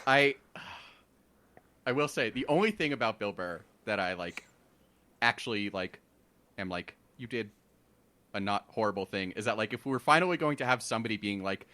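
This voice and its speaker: A man co-hosting a podcast in casual chat, animated and quick to laugh. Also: on the low side. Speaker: podcast co-host.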